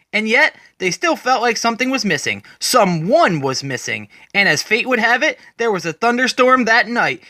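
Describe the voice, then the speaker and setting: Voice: mid-range voice, sharp tone, no echo, studio quality. A person giving a dramatic, scripted narration as a storyteller, retelling comic book scenes in the present tense.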